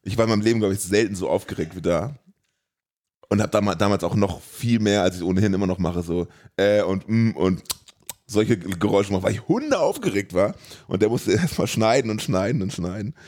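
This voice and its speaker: A man with a fairly low voice chats casually as a podcast co-host. He speaks at a rapid clip, in a colloquial and enthusiastic manner. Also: a little mellow. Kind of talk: casual chat.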